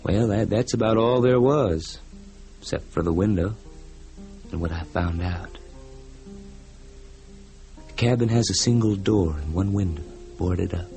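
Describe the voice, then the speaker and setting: A man's voice: deep voice, unhurried, long pauses, dark tone. An actor playing a folksy, plainspoken American frontier man who reflectively recounts a local haunting in a radio drama. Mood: wistful, reflective. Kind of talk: old-time radio drama narration. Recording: music behind the voice.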